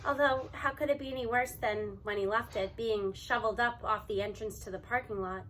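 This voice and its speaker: A woman reading a children's novel aloud in an expressive, animated storytelling manner. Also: fairly high pitch, fairly quick. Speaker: audiobook reader.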